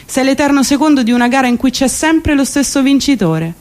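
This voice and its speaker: A woman's voice: sits high, expressive, a little fast, a little mellow. Wry woman performing a monologue. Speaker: stage actress and monologist. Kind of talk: theatrical comic monologue on radio.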